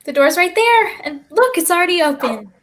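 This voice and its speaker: Woman playing a cautious, high-voiced child. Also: sing-song, normal speed. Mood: wary. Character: cautious, quick-witted child stowaway, the level-headed one. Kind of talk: staged reading of a play over video stream.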